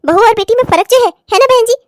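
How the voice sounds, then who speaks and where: A woman's voice: very high-pitched, quick pace, dry recording, studio quality. A woman playing a righteous, stern in-law in a family melodrama, lecturing with emphatic sarcasm. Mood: indignant, sarcastic. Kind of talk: melodramatic family story acting.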